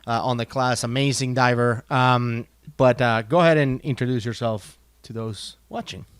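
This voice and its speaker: A man hosting a casual chat, animated and quick to jump in with questions. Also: medium pitch, smooth, dry recording, studio quality. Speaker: host.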